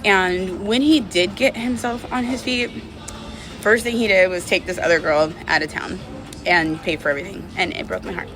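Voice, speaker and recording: A woman's voice: on the low side, moderate pace, low-quality recording. A woman talking casually and confessionally to camera as a vlogger, candid and rambling, with lots of "like" and "you know". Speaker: vlogger telling a personal breakup story.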